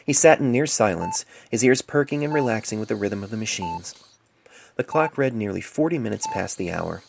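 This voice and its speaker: A woman's voice: extremely low pitch, animated, a little fast, vocal fry, noisy background. A woman narrating a scripted fan fiction story as an amateur reader, recorded on a cell phone microphone.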